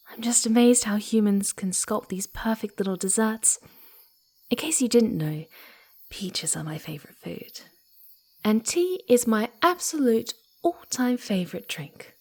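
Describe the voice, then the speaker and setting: A woman voicing a playful, sweet, eager young female fox spirit in a soft ASMR roleplay, cooing and giggly, often slipping into breathy whispers. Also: fairly high pitch, exaggerated voice. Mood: blissful, chatty. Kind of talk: ASMR roleplay, speaking softly to the listener.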